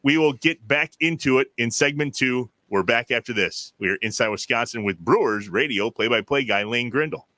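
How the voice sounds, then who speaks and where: A man's voice: mid-range voice, moderate pace, hardly any pauses, pushed and bright, slight background noise. A man hosting a sports podcast, moving between casual chat and sponsor reads. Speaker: podcast host.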